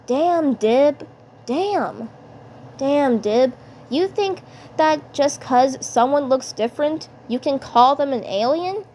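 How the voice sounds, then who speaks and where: A woman's voice: high-pitched, animated, slow, a little mellow, slight background noise. Woman as a blunt, scornful cartoon schoolboy. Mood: scolding. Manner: blunt, scolding, repetitive.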